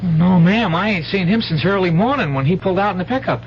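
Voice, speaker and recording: A high, boyish voice on a dull old radio drama recording. A man acts out a modest, dutiful American country boy, youthful and folksy in his speech. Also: fast, close to an everyday voice. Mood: polite, earnest. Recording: dull, noisy 1970s radio recording with hum.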